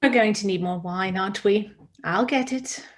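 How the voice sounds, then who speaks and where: A woman's voice: medium pitch, normal speed, natural voice. A woman reading the part of a playful mother in a screenplay table read. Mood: teasing, light. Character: Kelly, playful mother hosting a kids' party.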